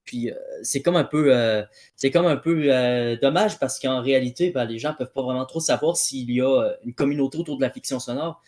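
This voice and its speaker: Male debater, medium pitch, informal, tentative phrasing. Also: fairly quick, darkish tone. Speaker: audio fiction debate participant. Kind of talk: casual discussion.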